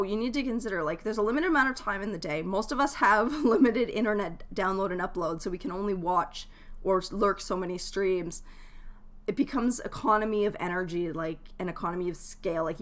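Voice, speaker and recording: A woman chatting casually and giving advice to viewers as a live game streamer, in a chatty, informal way. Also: mid-range voice.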